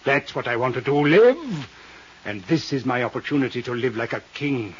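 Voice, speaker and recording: Florid old gentleman villain, muffled radio sound. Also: mid-range voice, expressive, normal speed, husky. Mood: grandiose. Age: older. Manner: florid, theatrical, courtly phrasing with Latin flourishes. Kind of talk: 1950s radio drama acting. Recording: muffled 1950s radio recording.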